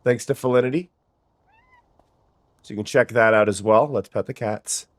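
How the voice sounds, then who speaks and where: A young adult streamer, sniffly today, chatting casually with viewers and restarting sentences often. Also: male voice, fairly low pitch, moderate pace, no room sound, clean studio sound. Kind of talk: casual gameplay chat.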